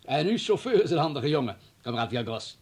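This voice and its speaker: A husky-voiced male hospital administrator in a radio drama, fussy and eager to please. He is wordy and flustered, with lively, shifting intonation. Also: a little high, fast. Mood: placating. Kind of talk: radio play acting.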